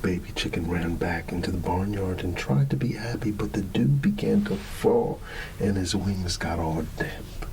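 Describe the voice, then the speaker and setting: A man reading a bedtime story in ASMR style, hushed and drawn out, sometimes dropping into a whisper. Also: deep voice, vocal fry, faint music behind, poor audio. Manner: hushed, unhurried, drawn-out words with playful asides. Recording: steady background ambience noise. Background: ambient background sound.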